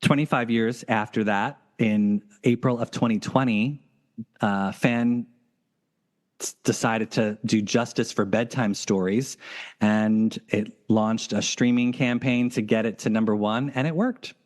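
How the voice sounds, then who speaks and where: A man's voice: on the low side, fairly even intonation, measured pace. A man hosting a podcast, chatting casually. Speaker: podcast host. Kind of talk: casual chat.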